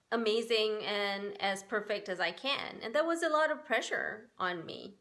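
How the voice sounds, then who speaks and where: A woman's voice: medium pitch, a bit bright. A woman in her forties from Singapore, giving an earnest, upbeat personal talk that encourages other women. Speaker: spiritual workshop host. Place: Bay Area, United States.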